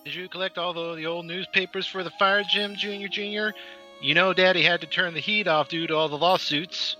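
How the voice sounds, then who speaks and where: A man's voice: high-pitched, fairly even intonation, brisk, bright, forceful tone. A man playing a broke, doting, mournful father in a comedic audio play. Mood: sorrowful. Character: broke, sorrowful doting wrestling-promoter father. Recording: dull lo-fi audio with music.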